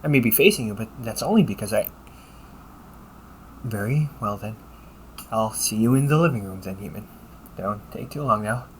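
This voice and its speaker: A man voicing an upbeat, teasing AI companion in an audio roleplay, polite and fussily helpful, with a gently nagging manner. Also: lowish voice, lively intonation, moderate pace, pauses often, slight background noise, close to an everyday voice. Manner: polite, chirpy, gently nagging, butler-like phrasing. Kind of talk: scripted audio roleplay addressed to the listener.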